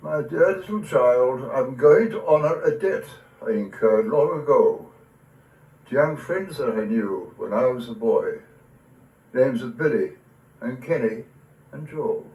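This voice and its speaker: A man's voice: medium pitch, lively intonation, measured pace, darkish tone, dull audio. A man playing a tender, wistful old grandfather and war veteran in a recital of dramatic verse, with an emotional quiver in his voice. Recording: echoey room, distant from the mic.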